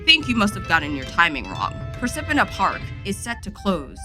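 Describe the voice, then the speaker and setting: A woman's voice: mid-range voice, huge pitch swings, over music. A woman playing a solemn, knowing mystical guide who speaks firmly and earnestly in an audio drama. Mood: grave. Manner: measured, firm, earnest.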